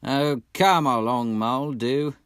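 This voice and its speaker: A man voicing a cheerful, brisk storybook water rat, heartily urging. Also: medium pitch, fairly bright tone, smooth, dry recording, studio quality, voice pushed up.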